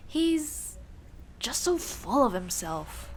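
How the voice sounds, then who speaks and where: A woman playing a young tomboy childhood friend in an ASMR roleplay, speaking in casual boyish slang with hesitant pauses. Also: high voice, breathy edge, full character voice. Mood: scornful, blunt. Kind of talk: ASMR roleplay, intimate one-sided dialogue.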